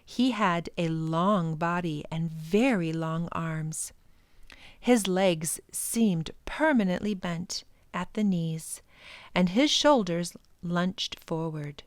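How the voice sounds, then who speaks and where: Slow-paced woman reading a story aloud. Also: on the low side, no room sound. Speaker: library storytime reader. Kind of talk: scripted storybook narration, read aloud.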